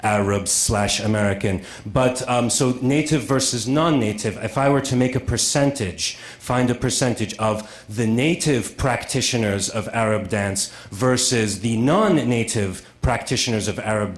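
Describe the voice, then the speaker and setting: A man giving a conference lecture, speaking haltingly and repeating words with many fillers, in a museum lecture room. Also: lowish voice, roomy sound.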